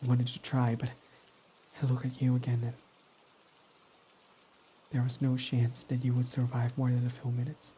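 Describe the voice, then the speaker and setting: A man's voice: mid-range voice, completely flat delivery, moderate pace, pauses often, dark tone, slight background noise, natural voice, low-quality recording. Man voicing a proud tsundere villain. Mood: quiet, confessing. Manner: defensive, swears when flustered. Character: proud tsundere young male villain, gruff but smitten. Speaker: ASMR roleplay performer. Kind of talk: ASMR roleplay.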